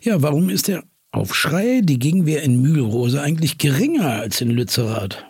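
Guy hosting an interview podcast, really husky voice w lots of creak, dry close sound. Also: fairly high pitch, very animated, moderate pace, a little mellow, no room sound. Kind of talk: conversational interview with probing questions.

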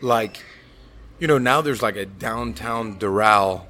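An American man hosting a casual podcast chat in an animated, joking, freely cursing way. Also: fairly low pitch, slow pace, slight background noise. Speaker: podcast host.

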